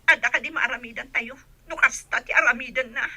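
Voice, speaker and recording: High-pitched betrayed wife, acted by a woman. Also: brisk, pushed and bright, husky, no echo, exaggerated voice. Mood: hurt, bitter. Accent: Ilocano. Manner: melodramatic, tearful, accusing. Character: betrayed wife, heartbroken, bitter and furious at her husband. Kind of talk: Ilocano radio drama acting.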